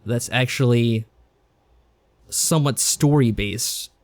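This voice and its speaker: A man's voice: medium pitch, slow pace. A man co-hosting a podcast, talking through his review in a casual, conversational style. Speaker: podcast co-host.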